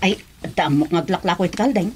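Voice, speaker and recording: A woman's voice: on the low side, quick pace, darkish tone, husky. A woman acting as a talkative village neighbour in a radio comedy drama. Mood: chatty.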